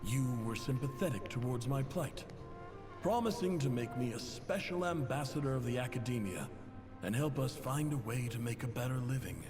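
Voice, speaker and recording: Man acting a proud desert chief, echoing, expressive. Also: mid-range voice, lightly acted. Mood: formal, courteous. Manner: formal, measured, courteous. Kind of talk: dramatic video game letter narration. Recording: long reverb, music behind.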